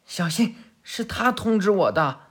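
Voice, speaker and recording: A man voicing a sharp, scolding young ninja girl. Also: sits high, animated, a little slow, breathy edge, pitched above the performer's own voice, exaggerated voice. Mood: urgent.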